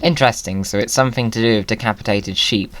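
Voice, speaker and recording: A man's voice: on the low side, quick pace, a bit bright. Whimsical British man doing gaming commentary. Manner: whimsical, chatty, exclaiming. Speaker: gaming video host. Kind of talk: playful gaming commentary to viewers.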